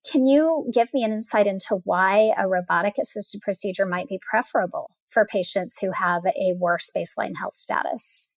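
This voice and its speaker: A woman's voice: mid-range voice, moderate pace, few pauses, dry recording, rough recording. A woman hosting a professional podcast, asking formal, articulately worded interview questions. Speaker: burn surgeon. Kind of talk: scripted-sounding interview questions.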